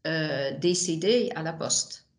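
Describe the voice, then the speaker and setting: A woman whose first language is not French, giving testimony on a video call, halting with many restarts and fillers. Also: low voice. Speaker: witness, widow of a manager. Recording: echoey room on a video call.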